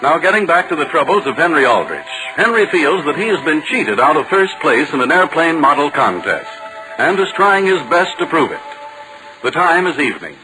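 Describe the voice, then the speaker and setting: A man's voice: fairly high pitch, very animated, moderate pace, music in the background, rough recording. A man working as a 1940s radio announcer, reading scripted copy with polished, theatrical salesmanship. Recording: hissy vintage 1940s radio recording.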